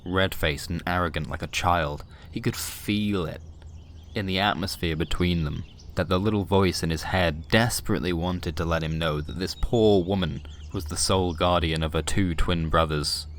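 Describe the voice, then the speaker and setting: A man's voice: sits low, normal speed, a little hiss or hum. A man reading scripted comic science fiction as a storyteller, with a wry, dramatic delivery. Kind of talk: scripted comic sci-fi narration.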